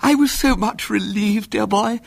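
A man voicing a prim, anxious elderly aunt in a breathless, fluttery falsetto. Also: unhurried, vocal fry, full character voice. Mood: fretful.

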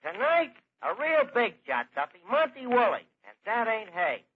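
An actor playing a wisecracking, blustering New York tavern manager in an old-time radio comedy, with slangy, hesitant patter. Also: male voice, extremely high pitch, huge pitch swings, moderate pace, breathy edge, full character voice. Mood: chummy, wheedling. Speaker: comedy show lead. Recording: dull, noisy old radio recording.

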